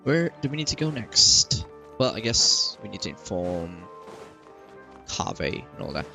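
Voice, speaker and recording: Female gaming host: very low pitch, lively intonation, loose informal chatter. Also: unhurried, creaky. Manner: giggly, informal, rambling. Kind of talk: casual gameplay commentary. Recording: faint game music behind.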